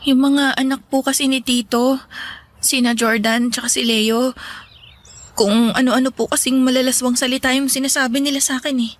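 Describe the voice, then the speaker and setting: A woman's voice: high voice, flat delivery, normal speed, close to an everyday voice. A woman playing a hurt young daughter who protests politely and pleadingly in a Filipino radio drama. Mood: hesitant, uneasy. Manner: hesitant, respectful, pleading.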